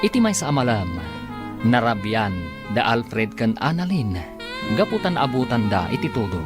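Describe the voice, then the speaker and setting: A man's voice: medium pitch, very animated, normal speed. A man with a Filipino accent giving scripted radio drama narration and announcements. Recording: music bed behind announcer.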